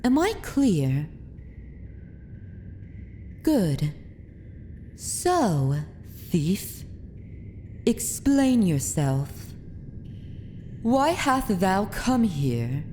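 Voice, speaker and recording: A woman's voice: mid-range voice, very animated, drawn-out words, long pauses, dark tone, a little hiss or hum. A woman playing a haughty, dominant vampire noblewoman in an ASMR fantasy roleplay, with commanding, archaic formal speech. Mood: stern, threatening. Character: haughty, dominant aristocratic vampire mistress of a manor.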